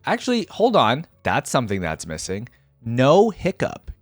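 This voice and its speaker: A young adult man hosts a reaction video in a casual, musing style. His pitch is average and the sound is dry, with little room in it. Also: wildly swooping pitch, moderate pace, no room sound, faint music behind. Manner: musing, tentative asides to viewers.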